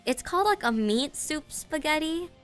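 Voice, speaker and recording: A woman's voice: high-pitched, animated, normal speed, soft background music. A woman with a congested, stuffy voice, chatting casually with her stream audience, giggling and stumbling over her words. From United States. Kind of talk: casual live-stream chat.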